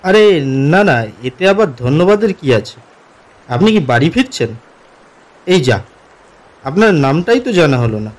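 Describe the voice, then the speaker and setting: A man playing an uneasy office commuter who narrates his own horror story in an expressive, atmospheric way for a cartoon. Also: on the high side, measured pace, pauses often. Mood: friendly, polite. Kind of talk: dramatic first-person horror story narration.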